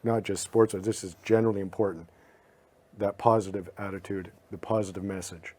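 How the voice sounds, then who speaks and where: A male podcast co-host with a low, mellow voice. He speaks reflectively in short, thoughtful runs and restarts a word now and then. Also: steady tone, fairly quick. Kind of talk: casual podcast chat.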